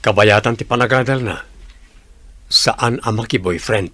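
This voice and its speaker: A man's voice: fairly low pitch, unhurried, sharp tone, dry recording. A man acting an earnest, guarded young suitor with an Ilocano accent in a radio comedy drama. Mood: earnest, insistent.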